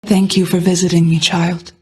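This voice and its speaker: A woman voicing a regal, ageless, motherly goddess of night in a video game, speaking in formal, measured, archaic phrasing. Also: fairly low pitch, level tone, lightly acted. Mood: gentle, solemn. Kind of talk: scripted video game voice acting.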